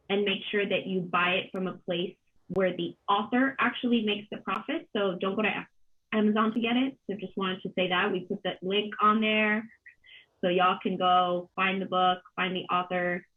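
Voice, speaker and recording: A woman in her thirties speaks on a video call with thin, phone-like sound. She moderates a panel in an unscripted, reflective way, often pausing and repeating words as she searches for the right phrase. Her pitch sits in a middle range. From Colombia. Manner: halting, thoughtful, with many pauses and repeated words. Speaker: panel moderator, arts organization director. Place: home kitchen, on a video call. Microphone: computer mic on a video call. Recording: dull, band-limited video call audio.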